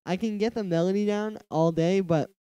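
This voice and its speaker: A man's voice: high-pitched, steady tone, normal speed, warm tone, clean tone, dry recording. A young man with a nasal voice, chatting casually and rambling on a live stream while he works on a music track. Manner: rambling, heavy use of "like". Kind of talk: casual livestream chat while producing. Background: faint music from the track being produced.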